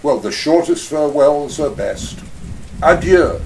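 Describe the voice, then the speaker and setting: A man's voice: a little high, animated, measured pace, a little mellow, far from the mic, a little hiss or hum, low-quality recording. Man playing pompous, suave villain Gutman. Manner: smooth, pompous, chuckling. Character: suave greedy older male villain Gutman. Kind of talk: community radio play acting.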